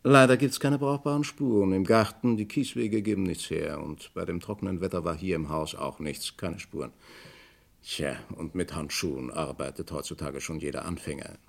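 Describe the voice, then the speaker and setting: An actor playing a probing, drily skeptical police commissioner in a radio crime drama, questioning in a clipped, businesslike way. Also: male voice, sits low, moderate pace, vocal fry. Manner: clipped, matter-of-fact questioning.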